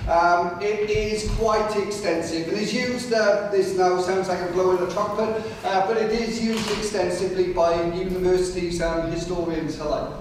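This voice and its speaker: Guy with a loud voice giving a history talk in a big hall, chatty, keeps saying "right?", lots of echo, sounds far from the mic. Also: sits high, steady tone, moderate pace, few pauses, a little hiss or hum. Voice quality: naturally loud voice. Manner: chatty, addresses the audience directly with frequent "right?". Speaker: local history speaker. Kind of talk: public history talk to a live audience. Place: large hall with pillars, live audience. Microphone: no microphone, far room pickup.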